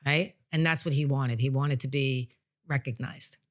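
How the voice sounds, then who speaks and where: A woman speaking as a psychic medium guest, explaining things in a casual, conversational way with halting restarts. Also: a bass voice, fairly even intonation.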